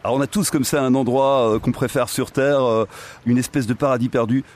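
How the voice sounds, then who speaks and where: A man with a Belgian French accent hosting a radio interview, asking measured questions with pauses. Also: mid-range voice, fairly even intonation, a little mellow, a little hiss or hum. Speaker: radio host.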